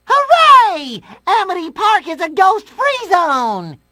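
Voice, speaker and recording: A goofy, childlike cartoon sponge with a very high, bouncing voice. He is naive and eager, and music plays behind him in the game. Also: wildly swooping pitch, takes it slowly, pushed and bright, no room sound, clean studio sound, full character voice. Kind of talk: cartoon video game acting.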